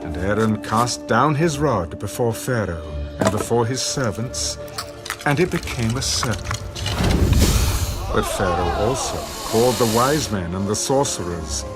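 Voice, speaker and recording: A man narrating a dramatised Bible reading in a solemn, measured storytelling way. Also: fairly low pitch, expressive. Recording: prominent music under the voice. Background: loud background music.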